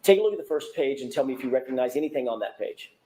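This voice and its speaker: A man works through formal courtroom questioning as a trial lawyer. He talks briskly, with precise, polite phrasing and leading questions. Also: medium pitch, lively intonation, warm tone, poor audio. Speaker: defense attorney cross-examining a witness.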